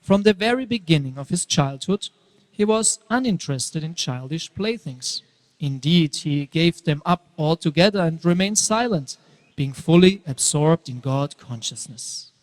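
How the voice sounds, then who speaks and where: A man's voice: on the high side, lively intonation. Male narrator in a reverberant hall. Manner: measured, storytelling. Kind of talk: scripted dramatic scripture narration.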